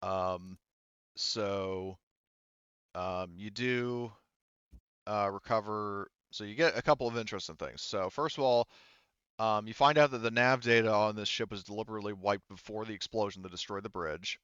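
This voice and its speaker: A man with a fairly low, smooth voice narrates a tabletop roleplaying game as game master. He talks informally and haltingly, building descriptions piece by piece. The sound is dry and a bit dull. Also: a bit bright, dry recording.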